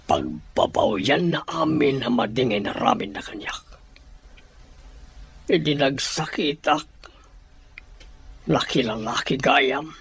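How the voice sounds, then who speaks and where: A man with a Filipino accent playing a stern, wary household master in a radio drama, commanding and measured. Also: mid-range voice, fairly bright tone. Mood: grave.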